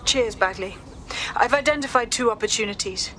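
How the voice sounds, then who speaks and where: Brisk British rebel leader, bright voice. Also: female voice, on the high side, a little hiss or hum. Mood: rallying. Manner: rallying, purposeful. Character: brisk, determined female resistance leader. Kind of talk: video game voice acting.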